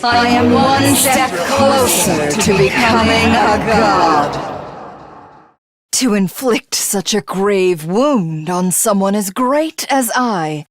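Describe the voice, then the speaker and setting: Haughty, fast-talking villainess. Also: female voice, medium pitch, husky. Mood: smug, ambitious. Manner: grandiose, theatrical, condescending. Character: haughty female alien commander craving godhood. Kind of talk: dramatic video game villain acting.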